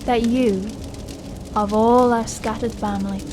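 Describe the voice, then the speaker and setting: An actress playing a serene, unsettling woman of an ancient ritual family in a horror audio drama, speaking in a measured, matter-of-fact way. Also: a little high, fairly even intonation, background noise, close to an everyday voice. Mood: serene menace.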